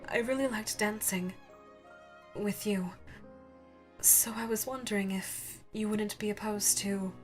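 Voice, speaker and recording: A woman voicing a regal, gentle alien gem woman with formal, tender phrasing in an ASMR audio roleplay. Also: mid-range voice, steady tone, unhurried, long pauses, faint music behind, lightly acted. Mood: shy, tender.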